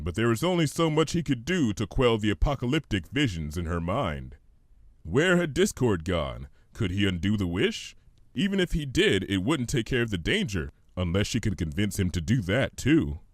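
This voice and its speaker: A man reading a scripted story aloud as an expressive narrator. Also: lowish voice, normal speed, no room sound, studio quality. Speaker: fan fiction reader, narrator.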